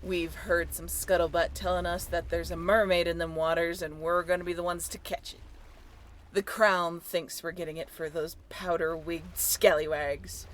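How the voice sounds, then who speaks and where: Woman putting on a swaggering, low-voiced pirate deck boss. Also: fairly bright tone, lightly acted. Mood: rousing, defiant. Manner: swaggering, barking orders to the crew. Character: brash, commanding pirate deck boss of a sailing ship. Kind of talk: pirate roleplay audio drama. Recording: clear background noise.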